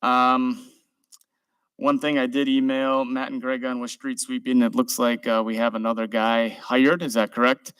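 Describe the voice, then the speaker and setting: A man's voice: mid-range voice, flat delivery, normal speed, fairly bright tone, smooth, no echo. A man chairing a city council meeting, speaking informally and giving thanks to city staff. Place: council chamber. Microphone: desk microphone.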